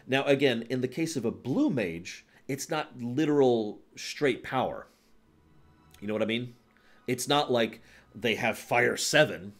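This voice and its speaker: A man hosting a livestream, chatting casually about video games in an emphatic, explanatory way, often checking that listeners follow. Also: mid-range voice. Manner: emphatic, explanatory, checks understanding with short tag questions. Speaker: livestream host.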